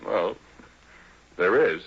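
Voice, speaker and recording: An actor playing a folksy, easygoing American oil-rig roughneck in a 1940s radio horror drama, with husky, colloquial working-man talk. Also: low-pitched, lively intonation, drawn-out words, full character voice. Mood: easygoing, hospitable. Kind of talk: 1940s radio acting, first-person storytelling and dialogue. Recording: muffled old-time radio recording.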